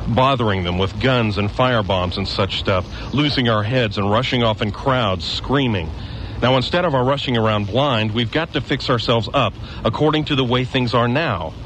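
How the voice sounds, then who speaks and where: An actor playing a hardened former guardsman who survives alone in a ruined town, gruff and matter-of-fact, lecturing with bitter conviction. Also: mid-range voice, normal speed, fairly bright tone, slight background noise, lightly acted, rough recording. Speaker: stranger with a knife. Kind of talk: local radio drama.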